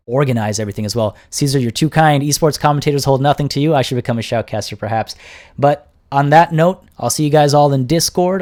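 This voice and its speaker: Young male streamer, upbeat and chatty, a bit hoarse by the end of the stream. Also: medium pitch, a little mellow. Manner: upbeat, chatty, grateful, many restarts. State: voice getting worn and hoarse. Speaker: livestream host and game caster. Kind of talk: casual livestream sign-off, reading chat aloud.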